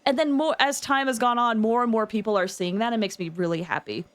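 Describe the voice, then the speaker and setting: A woman hosting a livestream, chatting casually with viewers in an expressive, emphatic way. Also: on the high side, fairly quick. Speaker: livestream host.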